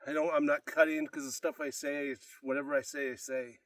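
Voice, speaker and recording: A man's voice: fairly high pitch, flat delivery, fairly quick, a bit bright, no echo, studio quality, distant mic. A man with a congested, out-of-breath voice, rambling casually to camera between sets of a workout. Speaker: fitness vlogger. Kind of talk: casual unedited workout vlog. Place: gym.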